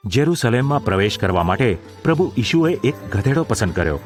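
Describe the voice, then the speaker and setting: A man's voice: mid-range voice, very animated, a little fast, soft background music. A man reading scripted narration for a Bible audio drama.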